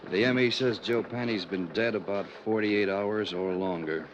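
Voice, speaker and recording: An old radio recording with faint noise carries a man playing a gruff American police lieutenant. The voice is very low, and the delivery blunt and businesslike, in 1950s crime drama style. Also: normal speed, muffled recording, natural voice. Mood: matter-of-fact. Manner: blunt, matter-of-fact.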